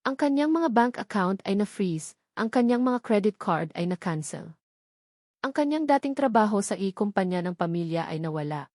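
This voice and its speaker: A woman narrates a scripted love story in a dramatic storytelling style. Her voice sits at a medium pitch, recorded very dry and close, with no room around it. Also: expressive, normal speed, a little mellow, no room sound.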